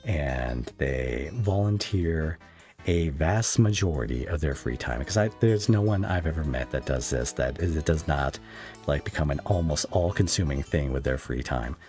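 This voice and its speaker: Man with an extremely deep voice, measured. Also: expressive, darkish tone, faint music behind. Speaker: podcast host, interviewer. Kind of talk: casual conversational interviewing.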